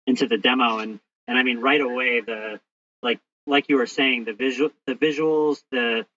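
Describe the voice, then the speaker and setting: A man's voice: medium pitch, fairly even intonation, normal speed, pushed and bright, distant mic. A man hosting a podcast, asking interview questions in a hesitant way with many restarts and fillers. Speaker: podcast host. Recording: hissy lo-fi audio, off-mic.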